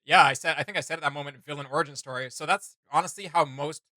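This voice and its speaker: A young man talks very quickly in a sharp, forward voice. He rambles enthusiastically through a casual reaction, recorded dry in a home studio. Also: fairly high pitch, no room sound. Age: young adult. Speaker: reaction video host. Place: small home studio room.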